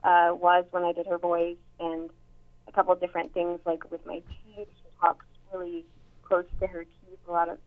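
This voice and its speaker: Young woman with a deep voice on a tinny line. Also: completely flat delivery, normal speed. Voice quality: somewhat deep voice. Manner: chatty, many fillers and restarts. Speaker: actress and voice actor. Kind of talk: casual interview chat.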